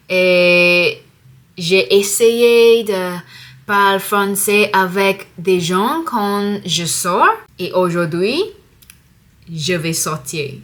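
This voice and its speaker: A young woman from Singapore vlogging in careful, slightly halting French that is not her first language. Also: fairly low pitch, a bit bright. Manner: slow, careful learner's French with pauses. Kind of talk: casual vlog to camera.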